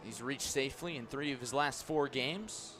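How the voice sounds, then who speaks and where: A man working as a radio play-by-play announcer, calling live baseball in crisp, clipped phrases. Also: medium pitch, moderate pace, music in the background.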